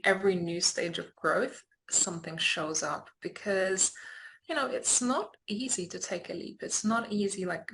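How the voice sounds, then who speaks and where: Creaky-voiced woman telling a personal story. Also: on the low side, fairly bright tone, rough recording. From Lithuania. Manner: candid, reflective. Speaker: interview guest, entrepreneur and speaker.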